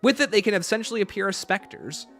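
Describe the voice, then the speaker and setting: A man's voice: sits high, animated, quick pace, a bit bright, faint music behind. A man hosting a livestream, explaining and answering viewer questions off the cuff. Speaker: livestream host.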